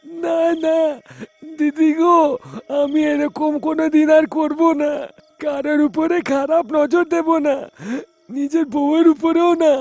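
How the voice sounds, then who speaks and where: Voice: sits high, flat delivery, unhurried, darkish tone, dry recording. A performer voicing a greedy, cowardly rickshaw driver with a whining, exaggerated cartoon delivery. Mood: apologetic. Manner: whining, wailing pleas.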